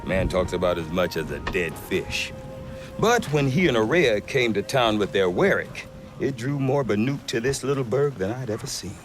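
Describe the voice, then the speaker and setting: An actor playing a gruff middle-aged trader who talks with dry humor. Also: male voice, fairly low pitch, normal speed, natural voice. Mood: wry. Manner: wry, dry humor, lively delivery. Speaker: merchant trader. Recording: game cutscene with music behind.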